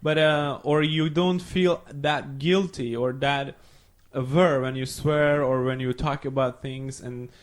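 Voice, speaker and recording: A man's voice: medium pitch, steady tone, slow pace, few pauses. A young man from Iran whose first language is Farsi, a podcast guest talking casually and searching for his words in English. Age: young adult. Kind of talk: casual chat.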